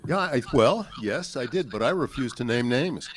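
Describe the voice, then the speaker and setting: A man's voice: medium pitch, lively intonation, moderate pace, crowd noise. A man in his eighties talking informally as he answers audience questions about his own memories. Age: 80s. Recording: slightly noisy live event recording.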